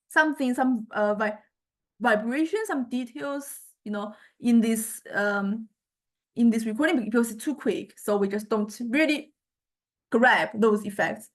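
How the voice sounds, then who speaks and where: Halting woman lecturer with a higher-pitched voice. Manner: halting, searching for words, repeating words. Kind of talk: explanatory software demonstration lecture.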